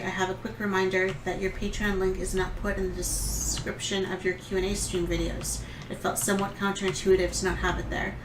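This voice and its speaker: A woman's voice: mid-range voice, background noise. A woman co-hosting a stream, chatting casually and reading viewer questions aloud. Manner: conversational, restarts sentences. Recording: echoey room with music behind.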